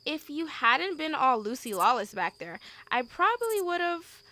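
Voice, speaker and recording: A brash young working woman in an audio drama, played by an actress, with a high, bright voice that swings freely. She is chatty, blunt and slangy. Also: very animated, unhurried, full character voice. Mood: alarmed, apologetic. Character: brash, chatty, foul-mouthed young woman hauling corpses. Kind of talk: amateur audio drama acting.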